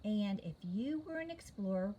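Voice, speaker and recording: A woman's voice: medium pitch, animated, normal speed, dark tone, slight background noise. A woman reading a storybook aloud and addressing young listeners directly. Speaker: storybook reader. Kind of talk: read-aloud narration addressed to children.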